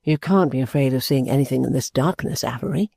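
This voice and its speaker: A man reading an audiobook, voicing an earnest, formal gentleman who speaks in a measured, reassuring way. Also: medium pitch, vocal fry, no room sound, clean studio sound. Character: earnest gentleman, calm, persuasive. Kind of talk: audiobook character voice.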